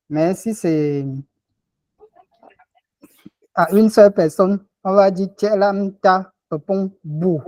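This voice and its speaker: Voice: mid-range voice, darkish tone, no echo. A language teacher on a video call explaining phrases patiently and repeating words.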